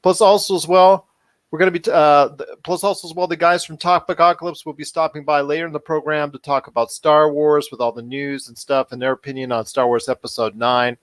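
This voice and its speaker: A man's voice: on the high side, level tone, fairly quick, dry recording. A man hosting a podcast in an upbeat, chatty style, wordy and given to repeating himself. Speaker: podcast host.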